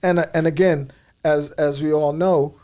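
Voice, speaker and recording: A man's voice: a little high, steady tone, moderate pace, mellow. A man co-hosting a studio talk show, asking questions with many hesitant fillers. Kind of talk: casual studio interview.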